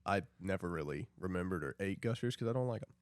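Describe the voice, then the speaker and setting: A man's voice: sits low, expressive, fast, no echo. A young man co-hosting a podcast in a casual, conversational way among friends. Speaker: podcast co-host.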